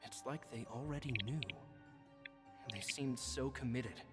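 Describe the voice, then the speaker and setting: An actor playing a kindly, humble male stage magician, reading his diary in an earnest, gentle way in a game voice-over. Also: mid-range voice, measured pace, natural voice. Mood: reflective. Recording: game audio with long reverb and music.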